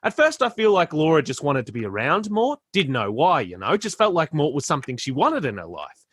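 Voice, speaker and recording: An actor in a radio play playing a laid-back young stoner philosopher who rambles and stumbles through slangy musings. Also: male voice, on the high side, huge pitch swings, brisk, a bit bright, slightly rough, no echo, heavily acted. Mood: earnest rambling.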